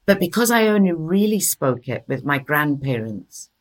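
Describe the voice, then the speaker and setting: A woman's voice: sits low, very animated, normal speed. A middle-aged woman from Scotland, a translator patiently explaining in an interview, often correcting herself. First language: Norwegian and English, bilingual. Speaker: guest, literary translator.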